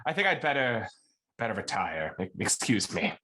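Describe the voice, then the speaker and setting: Nervous young suitor, stammering, excitable, very animated intonation, period radio drama. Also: male voice, moderate pace, husky, heavily acted. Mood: flustered. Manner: stammering, high-strung. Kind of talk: period radio-play acting.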